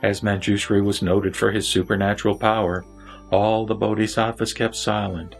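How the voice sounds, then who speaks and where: A man reciting a Buddhist scripture as a formal, measured narrator over background music. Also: on the low side, flat delivery, vocal fry.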